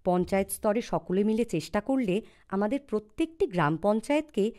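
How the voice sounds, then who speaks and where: A woman's voice: mid-range voice, animated, fairly quick, dark tone. A woman with a West Bengal accent reading scripted documentary narration.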